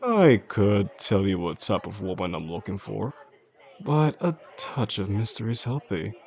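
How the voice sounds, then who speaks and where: A man playing a charming, softly possessive boss in an intimate audio roleplay, speaking attentively and flirtatiously to the listener in an unhurried way. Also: on the low side, very animated. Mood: tender, flirtatious. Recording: faint restaurant crowd behind the voice.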